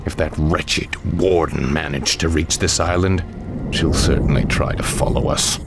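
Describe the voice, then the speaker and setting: A brooding half-demon villain in a fantasy game. The voice is extremely low and menacing, with a grandiose, commanding delivery. Loud music runs beneath it. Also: male voice, fairly even intonation, unhurried. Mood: triumphant, menacing. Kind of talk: fantasy video game voice acting.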